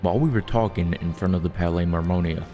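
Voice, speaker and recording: A man's voice: low-pitched, fast, dark tone, soft background music. A man streaming a video game, commenting casually and thinking aloud in an informal way.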